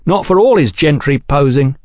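A portly, suspicious thug from an audiobook story, as a male narrator puts him on. The voice is pushed high and is blunt, indignant and always ready to argue. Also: expressive, normal speed, darkish tone, no room sound, studio quality, close to an everyday voice. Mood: scornful. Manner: blunt, challenging.

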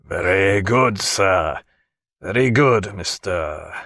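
A man's voice: low voice, animated, takes it slowly, sharp tone, dry recording, voice pushed down, exaggerated voice. A man doing a stiff, formal naval officer. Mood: offended. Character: stiff, formal first lieutenant.

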